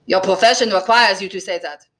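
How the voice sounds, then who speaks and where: Lady doing a plaintive innkeeper guy, bitter but eager to please, really bright forceful voice, storybook reading. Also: mid-range voice, moderate pace, lightly acted. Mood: proud, bitter.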